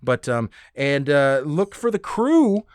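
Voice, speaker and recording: A man's voice: on the high side, big pitch swings, slow pace, smooth, no room sound, studio quality. A man hosting a solo podcast in a casual, rambling, conversational way, enthusiastic and casually profane. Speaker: podcast host.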